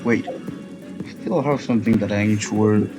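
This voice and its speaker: A man's voice: on the low side, normal speed. A man giving casual let's play commentary, muttering reactions and thinking aloud as he plays. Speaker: gaming video host. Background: game music.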